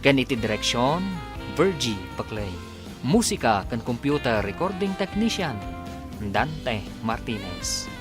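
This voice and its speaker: A man's voice: mid-range voice, very animated, a little slow. Guy announcing a radio drama, big swooping intonation, music behind him, emphatic. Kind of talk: scripted dramatic radio narration.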